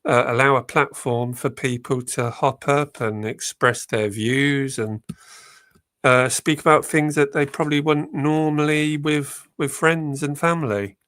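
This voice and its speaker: A man's voice: medium pitch, steady tone, unhurried, a bit bright, no room sound, clean studio sound. A man with a cold, chatting hesitantly as a guest on a live stream. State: bunged up with a cold. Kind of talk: casual chat.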